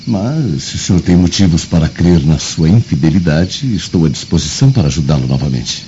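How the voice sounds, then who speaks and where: An actor playing a formal, obliging Roman guard who works as a secret investigator in a radio drama. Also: male voice, rumbling low, lively intonation, fairly quick, warm tone. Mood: dutiful. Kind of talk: old-fashioned radio drama acting. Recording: dull lo-fi radio drama with faint noise.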